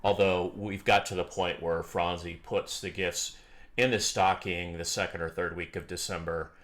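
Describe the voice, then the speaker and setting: A man co-hosting a podcast, speaking in a slow, dry-humored way with many "um"s. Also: low voice, steady tone, fairly bright tone. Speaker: podcast co-host. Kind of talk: casual chat.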